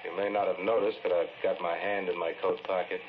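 An actor as stern low-voiced radio lawman. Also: little pitch movement, fairly quick, lightly acted. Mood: dry, wary. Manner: blunt, reproachful. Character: stern, skeptical lawman escorting a prisoner, scolding and impatient. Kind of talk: 1940s radio drama acting. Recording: muffled, noisy 1940s radio recording.

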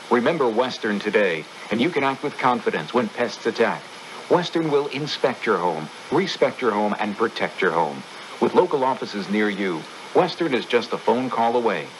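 A man's voice: lowish voice, fairly bright tone, a little hiss or hum, rough recording. A man reading a scripted radio advertisement as an announcer. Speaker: commercial announcer.

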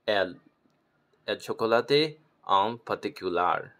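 A man from Taiwan, practicing pronunciation slowly and repeating words as he corrects himself. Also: fairly low pitch, lively intonation. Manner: slow, repeats words, self-correcting with soft laughs. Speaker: language learner. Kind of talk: self-recorded pronunciation practice.

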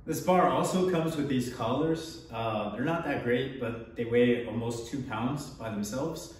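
A man's voice: medium pitch, unhurried, distant mic, a little hiss or hum. Casual young man in echoey garage. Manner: joking, offhand, trails off with small laughs. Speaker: fitness vlogger. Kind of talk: casual equipment review. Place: home garage gym. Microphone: camera across the room.

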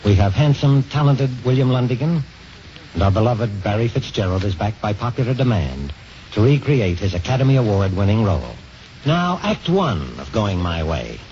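A man's voice: mid-range voice, big pitch swings, normal speed, a little hiss or hum. A man announcing and narrating in the scripted style of 1950s radio drama. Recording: dull 1950s radio recording. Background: loud music.

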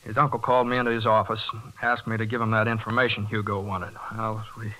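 An actor playing a hot-headed but good-hearted young working man in a 1940s radio drama, halting and stammering as he talks. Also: lowish voice, little pitch movement, poor audio. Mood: sheepish, evasive. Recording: 1940s radio broadcast with loud music.

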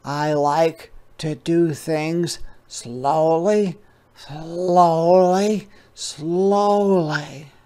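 A man voicing a slow, mellow, peace-loving sloth in a storybook read-aloud, drawing out the words. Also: a little high, steady tone, smooth, pitched above the performer's own voice. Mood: calm, unhurried. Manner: slow, drawn-out delivery.

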